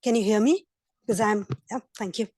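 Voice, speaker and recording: A hoarse woman presenting at a virtual conference session, earnest, with fillers and restarts. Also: mid-range voice, moderate pace, mellow, no room sound, clean studio sound. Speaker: invited speaker. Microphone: computer mic on video call.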